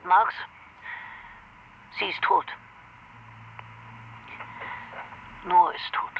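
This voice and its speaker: A woman's voice: on the low side, fairly even intonation, slow. Female Nazi-hunting officer for radio play, grave and unyielding, through a muffled telephone with slight noise. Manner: grave, resolute. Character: determined female British intelligence officer hunting war criminals. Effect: telephone filter. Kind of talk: radio drama acting. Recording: dull noisy telephone line.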